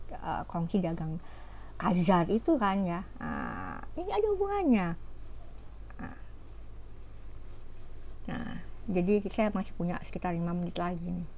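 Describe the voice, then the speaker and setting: A woman tells history like a storyteller in an informal lecture. Her voice sits at a medium pitch for a woman. She explains conversationally, breaking off for rhetorical asides. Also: dark tone, slight background noise.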